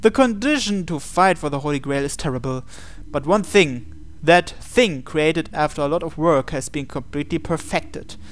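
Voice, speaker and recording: Clear, higher-voiced man reading aloud. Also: animated, normal speed, few pauses. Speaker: game playthrough host. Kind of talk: reading visual novel text aloud with commentary.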